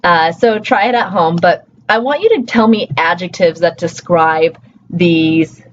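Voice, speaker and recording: A woman who teaches college, giving a casual recorded lecture, full of "um"s and quick self-corrections. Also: on the low side. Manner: casual and chatty, with many fillers and self-corrections. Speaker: college instructor. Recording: slightly muffled, faint hiss.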